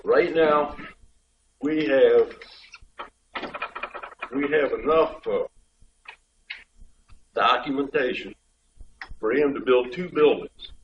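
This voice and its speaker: A man with a head cold, a state highway official explaining things step by step to a public board meeting. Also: takes it slowly, pauses often, slight background noise. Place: community board meeting room. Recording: dull, echoey room pickup from far off.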